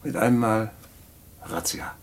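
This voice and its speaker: Man playing seasoned hustler, lowish voice, knowing, patronising, radio drama storyteller. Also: measured pace, natural voice. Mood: wry storytelling. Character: worldly male ex-racketeer fondly recounting his schemes. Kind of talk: radio play narration in dialogue.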